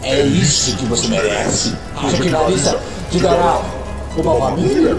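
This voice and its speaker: A man's voice: a little high, expressive, moderate pace, close to an everyday voice. A man voicing a cruel phantom voice that torments a fighter in an audio drama. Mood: taunting. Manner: taunting, cruel. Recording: roomy echo under loud music.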